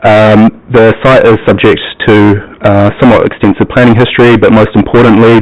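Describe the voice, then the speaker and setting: A man with a New Zealand accent presenting a planning application to a council committee, pausing often with ums as he explains. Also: fairly low pitch. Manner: hesitant, many ums, plain explanatory. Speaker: planning officer. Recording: muffled, lo-fi broadcast audio.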